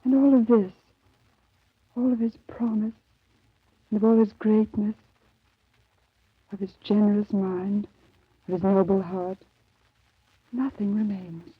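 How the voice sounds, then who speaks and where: A bereaved fiancee, slow and husky, pleading, halting, tinny old radio sound. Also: female voice, medium pitch, steady tone, dry recording. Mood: reverent grief. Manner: halting, repetitive, fervent delivery. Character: grieving, devoted bereaved fiancee, pleading and reverent. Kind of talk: 1930s radio drama acting. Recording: muffled, tinny 1930s radio recording.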